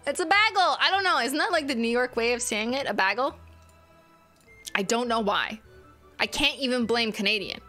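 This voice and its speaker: A woman's voice: on the high side, expressive, normal speed, sharp tone, soft background music. A young woman hosting a casual livestream, reading chat with a playful, teasing manner and frequent laughs. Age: young adult. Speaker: livestream host. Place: home streaming room, indoors. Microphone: studio mic on boom arm.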